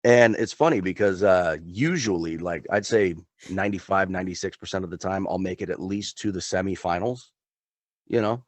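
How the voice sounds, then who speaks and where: A man with a very low voice talks in a loose, easygoing way. The sound is close and dry, with no room echo. He chats casually and keeps the tone friendly. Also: moderate pace. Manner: laid-back, chatty, says dude often. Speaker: podcast co-host. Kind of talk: casual chat.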